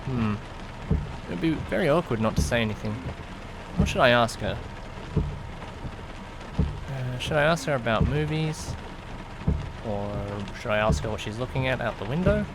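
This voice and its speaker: A man acts out an awkward young driver telling his own story in an audio drama. His delivery is conversational and musing, easily flustered, with pitch that moves around a lot. Rain and vehicle noise run clearly behind him. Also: lively intonation, moderate pace, pauses often, natural voice. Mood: musing, uneasy. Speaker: narrator and lead character. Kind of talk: first-person audio drama narration with acted dialogue. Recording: noisy, rain and car rumble behind. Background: rain and vehicle sounds.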